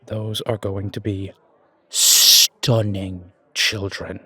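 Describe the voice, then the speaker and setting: A man acts a modest, down-to-earth restaurant owner in a fantasy audio drama. His voice is lowish and he talks slowly, in a blunt, informal and understated way. The sound is dry and close. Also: flat delivery, sharp tone, husky, no echo, heavily acted. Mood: hesitant. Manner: plain-spoken, understated, informal. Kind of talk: fantasy audio roleplay acting.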